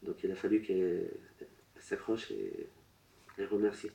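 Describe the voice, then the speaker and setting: Medium guy at a public seance, really dark mellow voice, broken choppy phrasing, echoey room. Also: sits low, big pitch swings, vocal fry. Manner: halting, relays messages in short broken phrases. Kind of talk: public séance reading. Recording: roomy echo.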